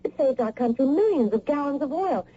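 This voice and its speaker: A muffled old radio mystery broadcast carries a worried wife who keeps probing. Her voice sits fairly high and is notably mellow and husky, with lively intonation. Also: fairly quick. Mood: concerned, pressing. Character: lawyer's wife, inquisitive and worried, mother of a twelve-year-old. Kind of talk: radio mystery drama acting.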